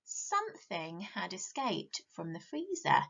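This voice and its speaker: A woman teacher reading a picture book to children, animated and asking them questions, often dropping to a whisper. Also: lowish voice, slow, gravelly, slightly rough, muffled recording.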